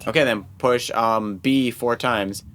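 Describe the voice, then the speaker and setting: Woman, very deep for a female voice, bright tone, patient casual game helper, faint noise behind. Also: fairly even intonation, measured pace. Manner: patient, coaching, spelling things out. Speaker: adult helper in a child's Let's Play. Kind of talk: casual, instructive chat during gameplay.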